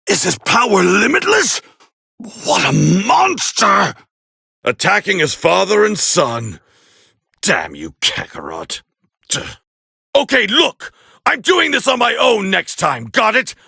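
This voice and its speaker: Proud alien fighter prince, raspy, high-pitched for a man, swooping delivery, scornful, short-tempered, anime game commentary. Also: huge pitch swings, slow pace, dry recording, studio quality, exaggerated voice. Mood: shouting, incensed, then irritated, defiant. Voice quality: gravelly, raspy. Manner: haughty, scornful, biting, forceful. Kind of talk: video game anime dub voice lines.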